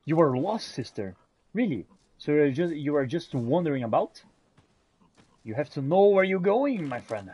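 Animated man role-playing through a game. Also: a little high, normal speed, breathy edge. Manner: speaks in character with old-fashioned phrasing. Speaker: gamer role-playing his character. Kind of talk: in-character game roleplay commentary.

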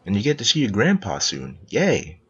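A man's voice: fairly low pitch, huge pitch swings, moderate pace, fairly bright tone, slightly rough, heavily acted. A man playing a playful, doting narrator who tells a cartoon storybook to a small child, in slightly dull sound. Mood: cheerful. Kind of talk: second-person storybook narration.

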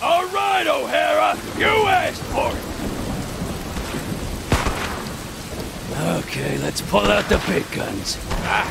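An impatient Western villain for a video game. His high, gravelly voice barks orders with hot temper and swooping intonation. Loud storm noise surrounds him. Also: wildly swooping pitch, a little slow, full character voice. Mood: threatening. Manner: barking, foul-mouthed, impatient. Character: hot-tempered male company gang boss in a Western. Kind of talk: dramatic video game cutscene acting. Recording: rain and storm noise throughout.

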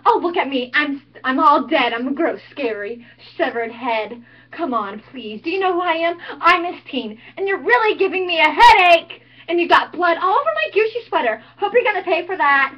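A woman's voice: sits high, lively intonation, moderate pace, pitched above the performer's own voice. A woman acting out a snobbish teen cheerleader who sarcastically mocks a killer, in an amateur monologue recorded dull and lo-fi. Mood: haughty. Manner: sarcastic, bratty put-on theatrics. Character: snobbish teen girl cheerleader, mocking and haughty.

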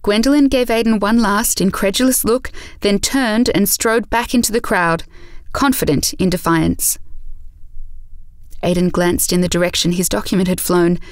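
A woman reads scripted narration for an audio drama. She tells the story in a measured way at a middle pitch, with a dry, studio-close sound. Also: no echo.

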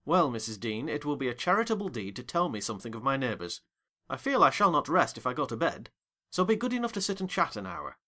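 A man voicing a curious, polite gentleman tenant in a storybook reading. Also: mid-range voice, sing-song, fast. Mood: inquisitive. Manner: polite, inquisitive.

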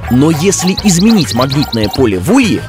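A man's voice: a little high, sing-song, brisk, music in the background. A man playing a playful science explainer, narrating an educational children's cartoon in a didactic, scripted way. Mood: explanatory, playful.

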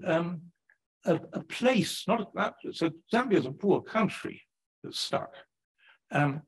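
An older man with an English accent speaks at a lectern microphone in a lecture hall. His voice sits fairly high and swings widely in pitch as he talks. The tone is a little husky, and the delivery is chatty and animated. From England, Sheffield. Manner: animated, chatty, frequent "right?" tags. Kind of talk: public lecture.

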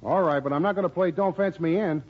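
A man's voice: on the high side, fast. An American man doing scripted old radio comedy banter as a joking, mock-grumbling sidekick. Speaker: orchestra leader and comic sidekick. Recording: muffled old radio recording.

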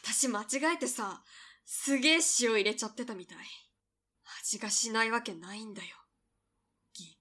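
A woman's voice: a little high, normal speed, long pauses, bright, forceful tone. A woman voicing a devoted tomboyish young girl in a voice drama, blunt yet tender. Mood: casual, knowing. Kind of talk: Japanese voice drama acting.

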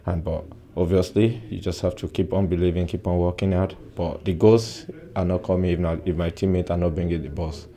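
A young man in his twenties, a footballer giving a soft-spoken post-match interview with many "uh" pauses, recorded indoors close to the mic. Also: sits low, little pitch movement. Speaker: football player. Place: indoor press backdrop at a stadium.

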